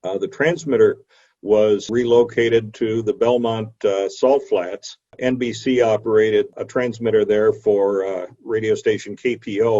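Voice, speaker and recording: Male lecturer, low-pitched and very mellow, measured and hesitant explanatory delivery, lo-fi video call sound. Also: breathy edge. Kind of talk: illustrated history lecture. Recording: dull, lo-fi video call audio.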